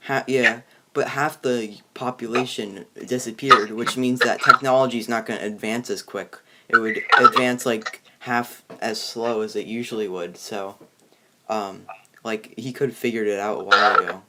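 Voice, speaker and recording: A young man hosting a casual review chat, talking in a halting, rambling, informal way. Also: fairly low pitch, steady tone, bright, forceful tone, a little hiss or hum, low-quality recording. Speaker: host of a movie review video.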